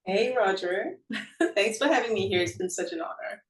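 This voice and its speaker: A woman's voice: medium pitch, lively intonation, moderate pace, roomy sound, distant mic. A woman from Singapore, a brand founder and interview guest, who talks casually, laughs often and leans on "you know".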